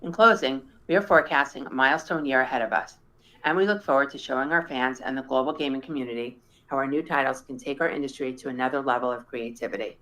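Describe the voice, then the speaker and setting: A woman reading a scripted financial presentation as a company executive, in a formal, measured manner. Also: a bass voice, fairly bright tone, poor audio. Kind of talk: scripted earnings-call presentation.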